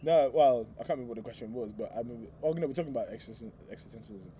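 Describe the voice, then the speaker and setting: A man's voice: medium pitch, very fast, warm tone, slight background noise. A young man hosts the interview, agreeing easily, laughing often and trailing off mid-thought. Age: young adult. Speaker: interview host. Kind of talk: casual chat.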